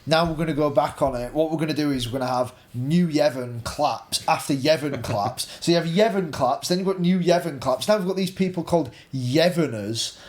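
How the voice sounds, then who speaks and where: A man's voice: fairly high pitch, a little fast, few pauses, a little mellow. A man co-hosting a casual panel discussion, exasperated and given to repeating himself. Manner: exasperated, repetitive. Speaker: podcast co-host.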